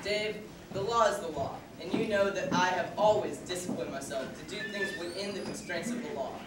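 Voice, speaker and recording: A man's voice: sits high, fairly quick, heavy background noise, lightly acted. Man playing a stubborn camp counselor in an echoey hall. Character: male camp counselor Fred, wry, stubborn and law-abiding. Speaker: camp counselor in an amateur play. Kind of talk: amateur stage play acting. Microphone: far room mic. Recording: echoey hall from far off, with music.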